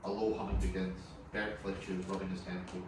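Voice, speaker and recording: A man's voice: low voice, completely flat delivery, fairly quick. A man narrating scripted stage directions at a screenplay table read. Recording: distant, echoey room with clear noise.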